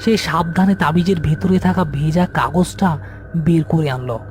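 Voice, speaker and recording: A man's voice: a little high, normal speed. A man narrating a scripted ghost story, with music behind him.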